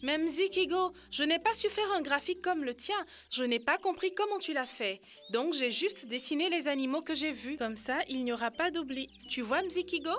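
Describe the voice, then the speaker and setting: High-pitched, earnest cartoon girl. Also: lively intonation, normal speed, few pauses, fairly bright tone, faint music behind. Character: helpful, bossy young girl organiser, earnest. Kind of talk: cartoon dub acting.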